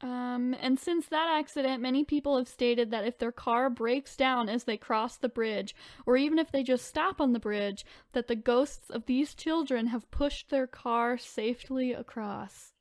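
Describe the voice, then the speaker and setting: A woman's voice: on the high side, unhurried, hardly any pauses, dry recording, studio quality. A woman hosting a podcast, chatting informally and reading spooky stories aloud with casual asides. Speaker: podcast host.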